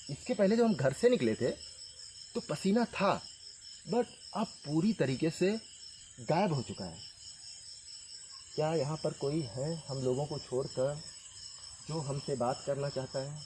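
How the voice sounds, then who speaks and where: A man with a slightly high voice hosts a ghost-hunting vlog outdoors at night. He explains things earnestly and haltingly, often repeating himself. There is faint background noise of crickets and insects. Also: dark tone. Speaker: paranormal vlog host. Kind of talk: ghost-hunting vlog, addressing spirits and viewers. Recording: noisy outdoor night recording with insect sounds.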